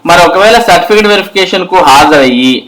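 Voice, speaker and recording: A man's voice: high-pitched, expressive, quick pace, fairly bright tone. A man explains news updates step by step in an instructional way, addressing viewers directly. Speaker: host of an education and job news channel.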